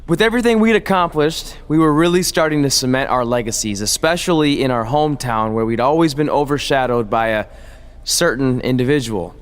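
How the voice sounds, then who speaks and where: A man acts out a young male pro wrestler in a game story. His voice is mid-pitched and conversational, with an earnest, determined drive. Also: brisk, clean tone, lightly acted. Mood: reflective. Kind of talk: video game cutscene dialogue and narration.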